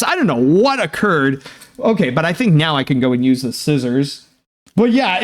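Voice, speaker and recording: A young Canadian man hosting his channel, chatting through fan mail in a casual, excitable way, with lots of fillers and restarts. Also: high voice. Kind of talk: casual unboxing chat.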